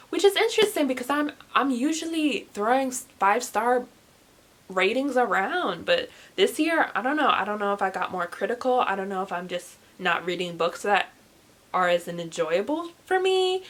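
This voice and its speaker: A young woman in her late teens chatting casually to camera as a book vlogger, rambling with animated, self-deprecating asides. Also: medium pitch. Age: young adult, late teens.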